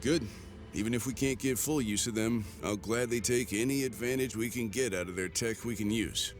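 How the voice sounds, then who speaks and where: An actor playing a grim, battle-worn mercenary commander in a video game, speaking in terse, measured lines. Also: male voice, mid-range voice, close to an everyday voice. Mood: grim resolve. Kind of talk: cinematic game voice acting. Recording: music behind the voice.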